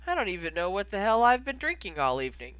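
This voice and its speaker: A reader as a tipsy, excitable young man. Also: mid-range voice, fast talker, dry recording, voice pushed up. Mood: gloomy. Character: tipsy, eager, slightly sheepish young man.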